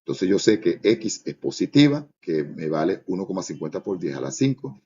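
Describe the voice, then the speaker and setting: A man's voice: low voice, very animated, moderate pace, dark tone, highs cut off, slight background noise. A man giving a step-by-step lesson as a physics teacher, in a didactic way with frequent short checks for understanding.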